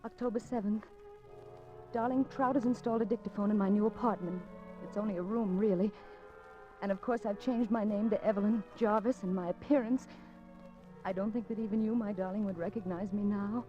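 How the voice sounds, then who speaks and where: A woman's voice: mid-range voice, fairly even intonation, fairly quick, mellow, soft background music, natural voice. An actress playing an anxious, determined woman undercover in a 1950s radio suspense drama, with coy, hesitant delivery. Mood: wistful, uneasy. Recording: dull 1950s radio recording.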